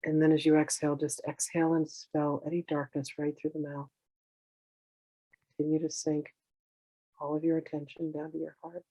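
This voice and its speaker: A meditation teacher guiding in a soothing, unhurried way, with gentle instructions in drawn-out phrases. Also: mid-range voice, completely flat delivery, no echo, rough recording. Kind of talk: guided meditation, slow instruction.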